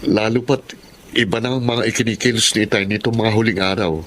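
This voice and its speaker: An actor playing an anxious, questioning son in a Filipino radio drama. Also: male voice, on the low side, steady tone, brisk, fairly bright tone, slight background noise, natural voice. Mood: worried. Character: worried young son, anxious about his father.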